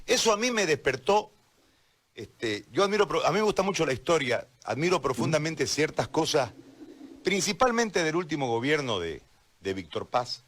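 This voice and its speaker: A man's voice: a little high, very animated, moderate pace, a bit bright. A man with a Bolivian accent interviewing as a journalist, conversational, circling hesitantly around his question and repeating words.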